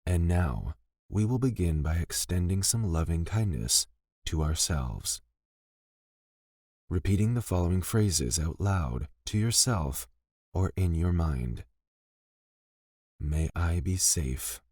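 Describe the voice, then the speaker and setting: Male meditation guide, low-pitched, gentle and unhurried delivery of scripted lines, very dry close sound. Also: expressive, no room sound. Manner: gentle, soothing, deliberate with pauses between phrases.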